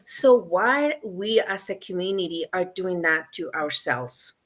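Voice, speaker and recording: A woman's voice: lowish voice, expressive, measured pace. A woman from Mexico with a Mexican accent, a parent guest on a panel, speaking earnestly and emotionally with many ums and repeated phrases. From Mexico, El Bajío region. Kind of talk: heartfelt panel discussion.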